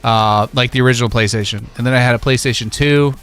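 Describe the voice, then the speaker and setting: A man's voice: mid-range voice, steady tone, fast talker, a bit bright, clean tone, soft background music. A man in his thirties casually chatting about video games on a live stream, reminiscing enthusiastically in slangy, informal speech.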